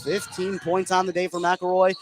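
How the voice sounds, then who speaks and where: Over clear background noise, a high-voiced man gives live basketball play-by-play. He follows the ball pass by pass and lifts into excited shouts when the big plays come. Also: normal speed. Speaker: play-by-play announcer. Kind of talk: live basketball radio commentary. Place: indoor school basketball gym, live courtside. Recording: noisy live gym broadcast.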